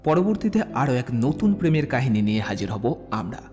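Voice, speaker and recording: A man's voice: medium pitch, very animated, moderate pace, warm tone. A man narrating and presenting a scripted romantic audio story. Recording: long hall reverb, faint music behind. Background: faint music.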